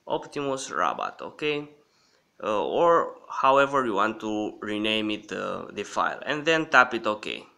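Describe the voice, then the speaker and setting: A man hosting a casual app walkthrough in a halting manner, often checking in with the viewer. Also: lowish voice, big pitch swings, creaky, pushed and bright. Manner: halting, repeatedly checking in with "okay". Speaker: host of an app review channel.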